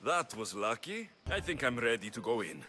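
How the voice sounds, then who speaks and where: An actor playing a wary, blunt young mercenary in a video game, speaking in a terse, guarded way. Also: male voice, medium pitch, big pitch swings, normal speed, bright, forceful tone, soft background music. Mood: uneasy. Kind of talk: video game dialogue acting.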